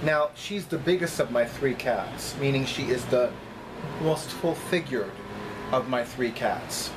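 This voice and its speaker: A middle-aged man talking casually to camera as a vlogger, with deliberate, emphatic phrasing and frequent repetition. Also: medium pitch, background noise. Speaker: vlogger, psychic reader. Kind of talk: casual chatty monologue to camera. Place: small apartment room by a kitchen, indoors.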